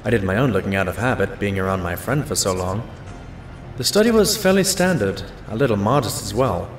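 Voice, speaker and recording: An actor playing a modest, loyal pony doctor who narrates and talks in an audio drama in an earnest, expressive way. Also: male voice, lowish voice, brisk, faint music behind, natural voice. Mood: reflective narration.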